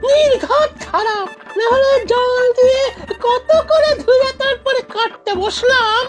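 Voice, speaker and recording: Cartoon village elder: boastful, theatrical old man with a tiny, piercingly high voice. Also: measured pace. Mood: panicked, upset. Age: older. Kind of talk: animated cartoon dialogue. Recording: music behind the voice.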